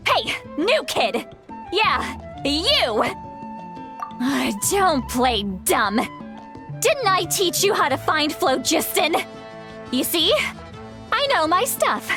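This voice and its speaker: A woman's voice: extremely high pitch, sing-song, takes it slowly, long pauses, over music, heavily acted. An actress playing a grumpy, tipsy young-looking shaman granny in an anime game, drawling and touchy. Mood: tipsy boastful.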